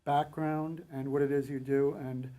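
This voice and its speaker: A man hosting a faith-focused TV talk show, asking steady, attentive questions in the studio. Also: medium pitch, normal speed, slight background noise. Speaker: host. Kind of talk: TV interview hosting. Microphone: studio TV set.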